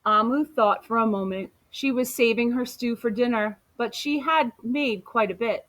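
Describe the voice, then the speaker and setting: A woman reading a children's picture book aloud in an animated, deliberate storytelling manner. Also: fairly high pitch.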